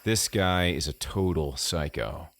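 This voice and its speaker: A man's voice: low-pitched, unhurried, a bit bright, no room sound, full character voice. A man voicing a hesitant, weary young man, the prankster's friend, speaking casually and downbeat in an audiobook story. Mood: reluctant.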